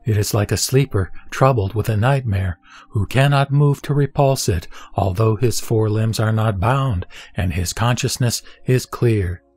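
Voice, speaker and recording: Male scripture reader, fairly low voice, measured deliberate delivery over faint background music. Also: dry recording. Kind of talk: reading a Buddhist sutra translation aloud. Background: soft background music.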